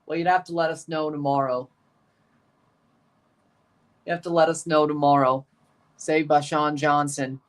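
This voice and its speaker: Male streamer, slightly hoarse, doing casual play-by-play with dry remarks to chat. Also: on the high side, little pitch movement, measured pace, long pauses. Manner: calls play like a commentator, dry asides to chat. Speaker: livestream watchalong host. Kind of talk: live match reaction and play-by-play.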